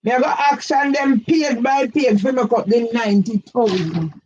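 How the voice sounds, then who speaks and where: An older woman with a Jamaican accent, delivering an agitated, repetitive monologue for her own channel that rises now and then into shouts. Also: a little high, normal speed, husky. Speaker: vlogger. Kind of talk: impassioned monologue. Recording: dull lo-fi audio.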